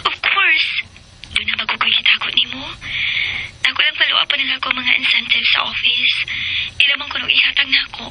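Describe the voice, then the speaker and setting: A woman's voice: high-pitched, brisk, lightly acted, low-quality recording. A woman playing a polite, troubled young wife and mother in a Filipino radio soap. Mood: evasive. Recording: music bed under radio drama.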